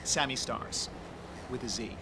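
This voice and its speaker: A man's voice: mid-range voice, measured pace, vocal fry, background noise. An actor playing a campy, theatrical middle-aged male ghost hunter in an audio drama, grandiloquent and airy. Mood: chatty, airy. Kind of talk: audio screenplay acting.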